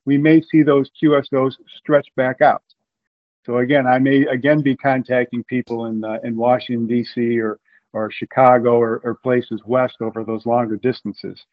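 A man's voice: medium pitch, little pitch movement, moderate pace. Guy from ohio explaining radio stuff, repeats himself a lot, kinda muffled lo-fi sound. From Ohio, USA. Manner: explanatory, with frequent restarts. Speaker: guest. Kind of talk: interview explanation.

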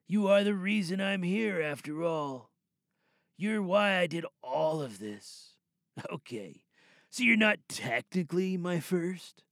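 A man playing a calm, creepy surfer-dude serial killer in an unhurried, intimate audio drama monologue. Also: a little high, no echo, studio quality, full character voice.

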